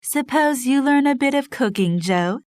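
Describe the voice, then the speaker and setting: A woman voicing a gentle, wise mother of four girls in a storybook reading, calm and softly spoken. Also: sits high, normal speed, no echo, voice pushed up. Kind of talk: audiobook character voice.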